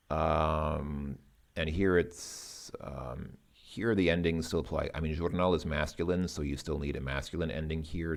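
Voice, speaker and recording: A man talking through language lesson exercises informally, thinking aloud in a hesitant, halting, dry way. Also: low voice, vocal fry, a little mellow.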